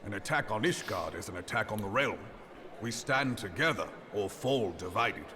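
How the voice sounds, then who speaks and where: An actor playing a resolute military leader in a fantasy video game. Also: male voice, fairly low pitch, lively intonation, normal speed, natural voice. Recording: clearly noisy game audio.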